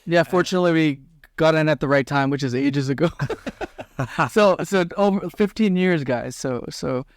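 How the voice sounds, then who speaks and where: A man's voice: fairly high pitch, moderate pace, no room sound. A man from Thailand, a post-producer, chatting as a podcast guest and repeating words as he talks. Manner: laughs often, repeats words. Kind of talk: casual interview.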